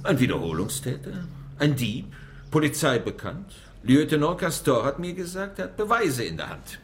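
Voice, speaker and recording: An actor playing a defensive small-town French mayor in a radio crime drama, insistent and wary of outsiders. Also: male voice, a little high, very animated, normal speed, a little hiss or hum. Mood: confidential, defensive.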